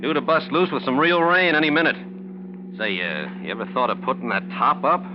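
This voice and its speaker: Guy acting as chatty bossy state trooper, old radio show, pitch jumps around, muffled noisy recording. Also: expressive, fairly quick, close to an everyday voice. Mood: breezy, probing. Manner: casual, folksy, slangy. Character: talkative, bossy state highway patrolman hitching a ride. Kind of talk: 1940s radio drama acting.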